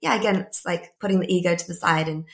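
A woman in her thirties, a climate psychologist and podcast guest, talking thoughtfully in a croaky voice with many soft fillers. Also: lowish voice, quick pace. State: croaky, a bit ill. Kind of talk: casual interview talk.

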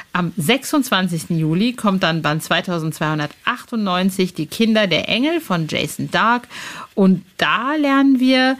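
A woman hosting a podcast, speaking casually and enthusiastically in interviews and announcements. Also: mid-range voice. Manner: informal, chatty, enthusiastic. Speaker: podcast host.

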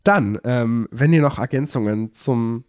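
Male podcast co-host, medium pitch, loose and searching delivery, dry sound. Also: unhurried, smooth, no echo. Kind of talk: casual podcast chat.

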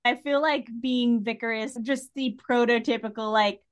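A woman with a fairly high voice talks casually as part of a podcast panel. She has a wry way of joking while she discusses things. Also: steady tone, moderate pace.

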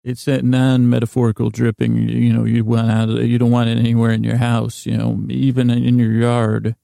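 An American man hosting a bedtime podcast with a gravelly voice, rambling in a lighthearted, gently silly way and often stumbling over and correcting his words. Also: medium pitch, completely flat delivery, normal speed, no echo, clean studio sound. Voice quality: gravelly, creaky. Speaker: podcast host. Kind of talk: rambling bedtime monologue to lull listeners to sleep.

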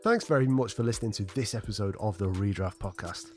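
A man with a fairly low voice hosts a podcast in a casual, conversational way. Music plays behind him. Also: fast talker. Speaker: podcast host.

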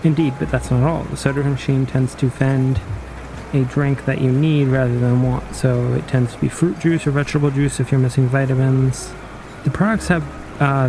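Man acting a gravelly, matter-of-fact wayfinder. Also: medium pitch, background noise, natural voice. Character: dry, matter-of-fact post-apocalyptic wayfinder man recounting strange trips. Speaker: actor in an audio drama. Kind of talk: audio drama interview storytelling.

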